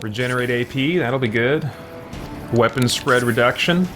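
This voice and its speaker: A man's voice: mid-range voice, moderate pace. A man hosting a let's play, thinking aloud in casual commentary as he goes. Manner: thinks aloud, muses to himself. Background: game music.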